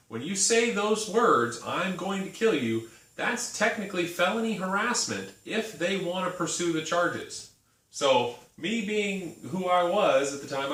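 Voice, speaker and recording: A man's voice: on the high side, a bit bright. A man co-hosting a casual chat, often chiming in with short agreements between his own stories. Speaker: podcast co-host. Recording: echoey room, mic at a distance.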